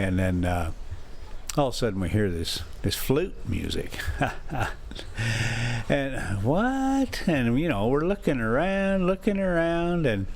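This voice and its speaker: Slow-paced folksy man with swooping pitch. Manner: folksy, chuckling, self-interrupting. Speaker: vlog host reading a novel aloud. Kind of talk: casual rambling chat and story reading.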